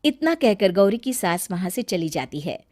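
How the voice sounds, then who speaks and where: A woman's voice: mid-range voice, sing-song, normal speed, darkish tone. A woman narrator reading a scripted children's story as storybook narration.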